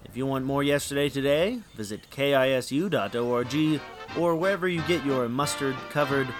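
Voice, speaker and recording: A man's voice: mid-range voice, normal speed, faint music behind. A man hosting a radio show with scripted links and comic skits, in a dry, sceptical manner.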